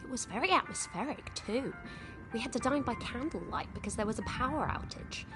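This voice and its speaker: A romantic lady journalist from a video game , played by a woman, with a slightly high voice. She sounds like a sociable society guest, with music clearly behind her. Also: expressive, fairly quick, close to an everyday voice. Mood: wistful, sociable.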